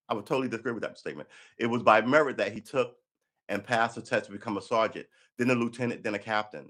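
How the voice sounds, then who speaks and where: A man's voice: fairly low pitch, fairly bright tone. A man, reading an article aloud on a live stream and breaking in with sarcastic, profane, heated asides. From New York City. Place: indoor room by a window.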